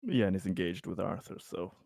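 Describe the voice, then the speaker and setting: A man with a low voice plays a tabletop game and explains his character's moves hesitantly, often restarting. The sound is dry and close, with no room around him. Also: animated, a little fast, creaky, a little mellow, no room sound. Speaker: player. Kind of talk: tabletop game play over voice chat.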